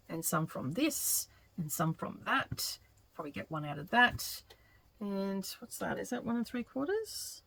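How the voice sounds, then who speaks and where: Chatty woman, slightly croaky. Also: on the low side, fairly bright tone, a little hiss or hum. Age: middle-aged. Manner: chatty, thinking aloud with many ums. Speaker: quilting channel host. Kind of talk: casual craft vlog narration while working.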